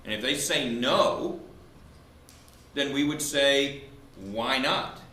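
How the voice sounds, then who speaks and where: A man's voice: medium pitch, takes it slowly, pushed and bright. A man who is a law professor arguing a legal point in a debate, explaining step by step and posing questions that he answers himself. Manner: argumentative, explanatory, poses and answers own questions. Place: indoor room at a law school event. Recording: roomy echo with faint background noise.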